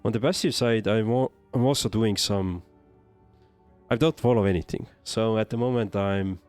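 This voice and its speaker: Low-voiced Estonian man streaming a game. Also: a little mellow, faint music behind. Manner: hesitant, halting, thinking aloud. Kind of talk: casual livestream gameplay commentary, reading and answering chat.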